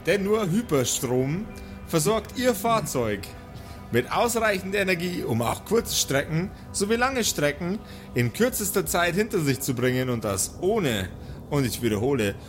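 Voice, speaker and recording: An over-eager male advert announcer, put on by a man, delivers slogans with emphatic repetition in a mock commercial. The pitch is fairly high and music plays clearly behind.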